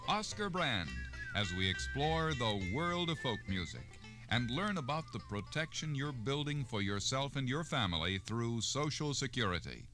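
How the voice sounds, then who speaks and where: A man's voice: mid-range voice, sharp tone. A man announcing a scripted public service radio programme in a 1960s style. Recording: music behind, old radio recording. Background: music.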